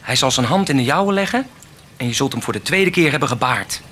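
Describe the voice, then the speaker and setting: Actor as a forceful, lively rabble-rousing baker. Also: male voice, mid-range voice, brisk, slight background noise, lightly acted. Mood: prophetic, persuasive. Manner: insistent, commanding, persuasive oratory. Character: militant baker, rabble-rousing prophet of the poor. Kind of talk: theatrical radio drama acting.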